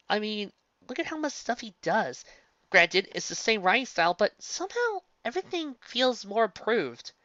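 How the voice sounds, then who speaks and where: Lively, bright-voiced woman rambling on a fandom. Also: no room sound, dull audio. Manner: rambling, with frequent restarts and self-corrections; animated, jumping up in pitch for emphasis. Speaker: fan fiction reader and commentator. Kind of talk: casual commentary on a story reading.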